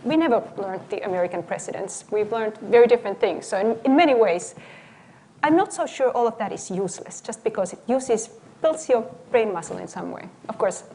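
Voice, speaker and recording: A woman from Finland with a Finnish accent, speaking as a panelist in a thoughtful, lively way. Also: mid-range voice, a little hiss or hum. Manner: thoughtful, lively, quick to agree. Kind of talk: panel discussion. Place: event hall before an audience.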